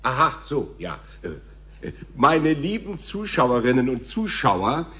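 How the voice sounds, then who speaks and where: An actor playing a showy, pompous TV talk show host in a theatrical radio drama style. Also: male voice, mid-range voice, big pitch swings, fairly bright tone. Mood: flustered, smarmy. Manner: theatrical, grandiose, dramatic pauses.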